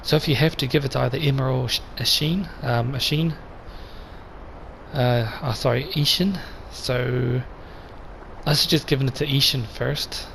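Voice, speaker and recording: A man with a slightly croaky voice hosting a let's play, chatting casually and addressing viewers directly. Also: medium pitch, normal speed, poor audio. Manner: relaxed and chatty, talks straight to viewers. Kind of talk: casual gameplay commentary. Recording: voice over game sound noise.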